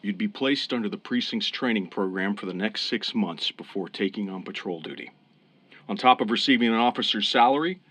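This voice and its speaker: An actor in a radio drama playing a fair-minded, encouraging New York police officer. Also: male voice, lowish voice, fairly bright tone, dry recording.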